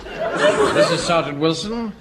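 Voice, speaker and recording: A man's voice: fairly high pitch, huge pitch swings, a loud crowd, full character voice. A man with an English accent playing a keen, self-important Home Guard captain in a radio sitcom. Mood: keen, formal. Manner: pompous, brisk, commanding. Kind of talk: British radio sitcom acting. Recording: noisy old radio recording, audience behind.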